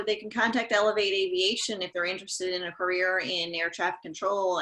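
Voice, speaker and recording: A woman's voice: on the low side, sharp tone, poor audio. A woman giving casual, unscripted advice as a panelist. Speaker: webinar panelist.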